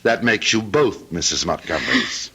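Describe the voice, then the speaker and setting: An actor playing a cruel, mockingly polite Southern gentleman villain in a radio drama, with a rough-edged, taunting drawl. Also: sits low, lively intonation, normal speed, exaggerated voice. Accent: American Southern. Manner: taunting, drawling mock courtesy.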